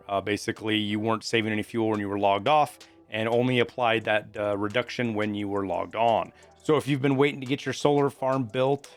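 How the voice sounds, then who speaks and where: Casual gaming news man over music. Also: mid-range voice. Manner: informal, conversational, explanatory. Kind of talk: casual video game news commentary. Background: background music.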